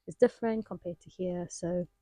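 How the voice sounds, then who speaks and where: A woman co-hosting a casual dance review, musing with curiosity and answering with soft murmurs. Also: on the low side, fairly even intonation, moderate pace, no echo.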